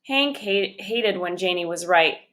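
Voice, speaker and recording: A woman reading a children's chapter book aloud, narrating it expressively and deliberately. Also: lowish voice, lively intonation, a bit bright, poor audio. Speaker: storybook reader.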